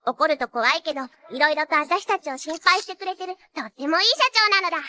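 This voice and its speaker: A woman's voice: sits high, animated, quick pace, bright, forceful tone, exaggerated voice. A woman playing a perky, cheeky teenage idol magical nurse in an anime, with cutesy catlike tics. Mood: bubbly, excited. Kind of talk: anime character acting and excited narration.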